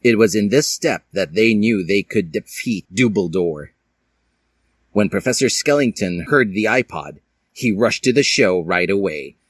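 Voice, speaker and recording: Low-voiced man with very lively storytelling delivery. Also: measured pace. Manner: theatrical, puts on character voices. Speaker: fan fiction reader. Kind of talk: dramatic comic reading of a story.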